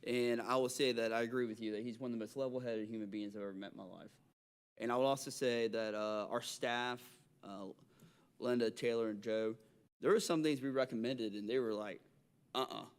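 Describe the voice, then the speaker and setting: A man's voice: mid-range voice, steady tone, clear, resonant tone. Man with a Southern twang. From Alabama. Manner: halting, many restarts and fillers. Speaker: city council member. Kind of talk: council meeting remarks. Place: council chamber.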